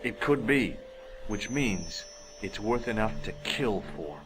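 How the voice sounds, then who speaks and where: Animated, wisecracking young man sleuth. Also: on the low side, takes it slowly, background noise. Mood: curious. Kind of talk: video game voice acting.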